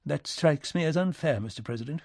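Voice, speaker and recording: A man's voice: fairly high pitch, lively intonation, a little fast, gravelly, darkish tone, no echo, studio quality, pitched above the performer's own voice. A man voicing a courteous aristocratic diplomat in an audiobook, speaking formally and stiffly. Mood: stiff, defensive.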